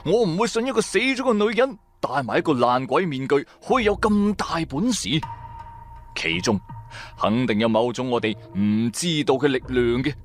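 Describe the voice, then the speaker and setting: A male audio drama narrator with a Cantonese accent. He tells the scripted tale theatrically, his pitch moving widely from phrase to phrase over faint background music. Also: moderate pace. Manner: theatrical, expressive.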